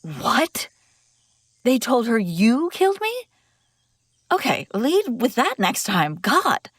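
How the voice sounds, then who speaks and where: A woman's voice: fairly high pitch, sing-song, slow, no room sound, studio quality, exaggerated voice. A woman playing a commanding, wry escaped princess in an audio roleplay, speaking dramatically and expressively straight to the listener. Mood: puzzled, probing. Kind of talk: immersive audio roleplay acting, one side of a dialogue.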